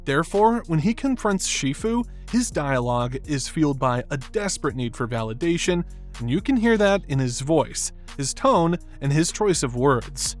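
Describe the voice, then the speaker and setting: Male video essayist, somewhat high-pitched, reading scripted commentary over background music. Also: big pitch swings, moderate pace. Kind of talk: scripted narration, film analysis.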